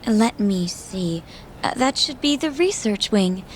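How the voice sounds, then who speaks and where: A woman's voice: mid-range voice, expressive, slow pace, slight background noise. A woman acting in a game dub as a polite, helpful receptionist with a slightly hesitant, courteous manner.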